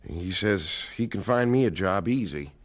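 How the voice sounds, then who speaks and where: A man's voice: sits low, animated, normal speed. An actor playing a kindly, troubled working family man in a radio drama, hesitant and folksy. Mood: regretful.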